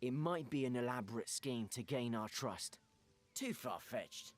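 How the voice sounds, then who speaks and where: A man voices a suspicious male adventurer in a fantasy game. His pitch sits in the middle and moves in a lively way, while he weighs and questions things in a measured manner. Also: lightly acted. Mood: deliberating. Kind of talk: video game cutscene acting.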